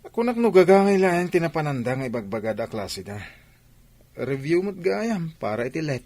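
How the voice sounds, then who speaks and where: A man's voice: on the high side, big pitch swings, moderate pace, darkish tone, dry recording. A man acting an exasperated, chiding village cousin in an Ilocano Filipino radio comedy drama, teasing as he scolds.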